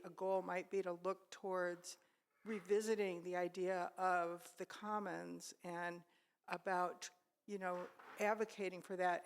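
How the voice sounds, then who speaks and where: Woman, older, participant in a church class, offering a thoughtful, unscripted comment at natural mid pitch. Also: clear, resonant tone.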